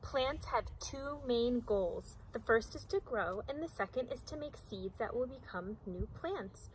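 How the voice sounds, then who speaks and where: A woman with a slightly high voice leads a read-aloud lesson for young children. She speaks in simple, teacherly phrases and poses prompting questions. A faint layer of noise sits behind her. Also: lively intonation, unhurried, low-quality recording. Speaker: teacher and host reading a children's book aloud.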